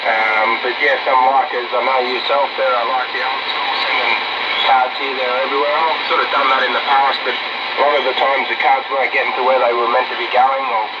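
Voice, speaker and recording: A man's voice: medium pitch, level tone, fairly quick. An Australian man chatting casually and in a rambling, matey way as a radio operator, heard over a two-way radio. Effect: two-way radio transmission. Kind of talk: casual radio contact chat. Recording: dull, very noisy radio reception.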